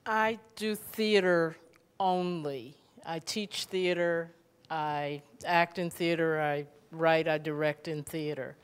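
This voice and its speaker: A middle-aged woman speaking earnestly and deliberately in reflective unscripted remarks on stage, through a handheld microphone. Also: low voice, clean tone. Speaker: theater director and teacher. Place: stage in an auditorium.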